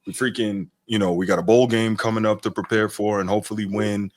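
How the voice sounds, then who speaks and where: A man's voice: lowish voice, steady tone, a little fast. A young man co-hosting a podcast, chatting casually about sports in a laid-back way and leaning on fillers like "man" and "you know". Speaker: podcast co-host.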